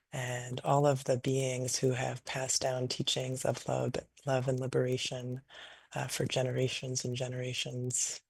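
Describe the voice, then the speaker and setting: A woman leading a guided meditation in a gentle, unhurried, invitational way, improvising softly and leaving long pauses. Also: a bass voice, creaky, slightly rough, no echo. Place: online video call session.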